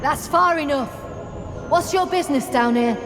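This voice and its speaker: A woman's voice: high-pitched, moderate pace, fairly bright tone, soft background music. An actress playing a hostile female bandit guard in a video game, brusque and threatening. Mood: menacing. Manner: brusque, menacing. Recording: echoey cavern reverb.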